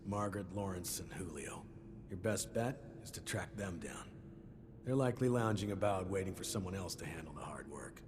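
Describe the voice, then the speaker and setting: A man's voice: low voice, noisy background. An actor playing a stern male security chief who hands out chores in a clipped, businesslike way.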